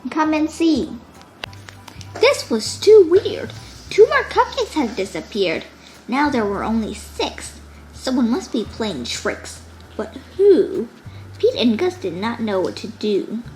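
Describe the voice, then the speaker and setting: A woman reading a children's storybook aloud in an expressive, deliberate narrating style. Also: on the high side, low-quality recording. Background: music.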